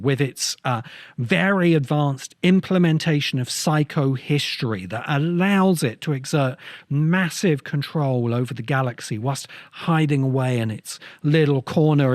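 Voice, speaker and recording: A man speaking as a podcast host in an explanatory lecture style, with measured, deliberate phrasing. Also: on the high side, no room sound, clean studio sound. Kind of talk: explanatory lecture to camera or audience.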